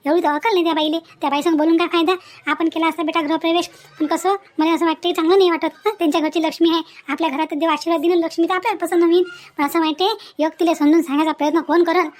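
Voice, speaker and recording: A woman acts out an outspoken village mother from a cartoon family drama. She talks high and fast in rural Vidarbha Marathi, protective and indignant, hammering her advice home with chatty, emphatic repetition. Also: fairly even intonation. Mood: earnest, exasperated. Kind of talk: animated family story dialogue.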